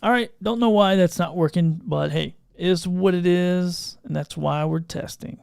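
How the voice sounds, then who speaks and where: A man hosting a livestream podcast, chatting informally and unscripted, thinking out loud as he troubleshoots. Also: high voice, normal speed, gravelly, smooth, dry recording, studio quality. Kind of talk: casual unscripted livestream chat. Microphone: podcast microphone through audio mixer.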